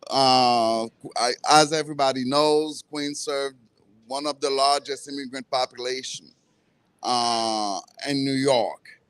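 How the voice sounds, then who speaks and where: A man with a Haitian accent and Creole as his first language makes personal remarks in public. His voice is smooth and slightly high, captured dry. He speaks slowly, in a measured, halting way. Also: steady tone, fairly bright tone, no echo. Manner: halting, measured, with self-corrections. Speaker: librarian, campaign participant. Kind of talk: prepared personal remarks at a press conference. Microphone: event microphone.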